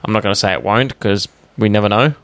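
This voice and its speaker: A young Australian man with a lowish voice chats as a podcast co-host. His talk is blokey, slangy and sweary, and he sounds close and dry on the recording. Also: quick pace, fairly bright tone, no room sound. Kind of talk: casual chat. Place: small home gaming room.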